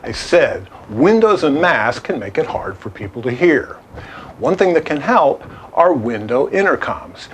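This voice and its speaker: A man presenting a scripted product overview in an instructional, emphatic, clearly structured way. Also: fairly high pitch, a little hiss or hum. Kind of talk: scripted explainer narration to camera.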